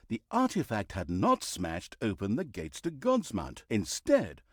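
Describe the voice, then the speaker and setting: Theatrical, wry fantasy game narrator. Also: male voice, on the low side, no echo, studio quality, heavily acted. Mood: grand, wry. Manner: wry, mock-grand, breaks into flustered asides. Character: wry, pompous male storyteller narrating a dark fantasy game.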